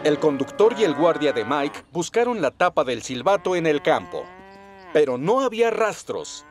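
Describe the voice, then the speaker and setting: A man narrates a children's cartoon in Latin American Spanish. His voice is high for a man and very animated, with music behind it. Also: normal speed.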